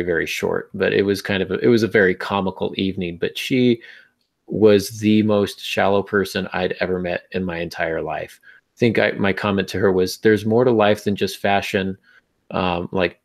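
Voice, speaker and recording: Slightly low, gravelly man hosting a podcast. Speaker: podcast host. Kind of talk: casual podcast chat.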